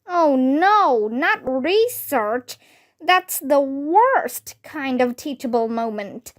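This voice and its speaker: A woman's voice: sits high, expressive, very slow, heavily acted. A woman voicing a sarcastic, groaning schoolboy who hates learning, in a storybook. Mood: dismayed.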